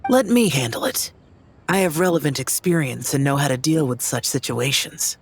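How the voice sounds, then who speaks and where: A man's voice: on the high side, lively intonation, moderate pace, faint music behind. An actor voicing a youthful, solemn male demon hunter in a video game, reserved and serious. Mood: resolute.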